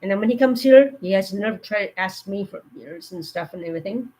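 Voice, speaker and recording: A woman with a cold, her voice going hoarse, giving earnest safety warnings in a rambling, casual live stream. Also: medium pitch, animated, brisk, dark tone, low-quality recording.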